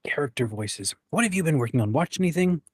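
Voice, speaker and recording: A man's voice: a little high, animated, fast, husky, dry recording, clean studio sound. A man hosting a writing livestream in a casual, informal, rambling and enthusiastic way. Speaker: host of a writing community livestream.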